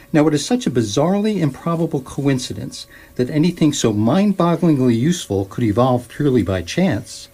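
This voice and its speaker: A man's voice: medium pitch, a little mellow, slightly rough. A man playing the wry, learned narrator of an electronic guidebook, explaining drily in a scripted comic sci-fi drama.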